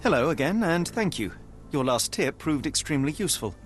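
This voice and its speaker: An actor playing a composed, analytical British detective in a video game, speaking in a measured, formal way. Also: male voice, a little high, very animated, a little hiss or hum. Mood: businesslike. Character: composed, analytical young gentleman detective, coolly persuasive.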